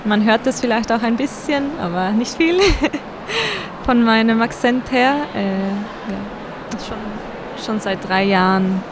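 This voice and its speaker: A young woman from El Salvador speaking, answering interview questions about her illustrating in a soft, hesitant way. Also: a little high, lively intonation, takes it slowly. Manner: soft-spoken, hesitant with fillers. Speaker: book illustrator guest. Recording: street noise behind.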